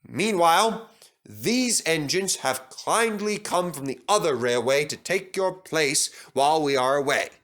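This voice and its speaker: A man acts out a commanding railway director from a storybook. He is formal and stern, with a raised pitch and deliberate pace. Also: expressive, fairly bright tone. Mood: authoritative. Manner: authoritative, formal, bellowing when crossed.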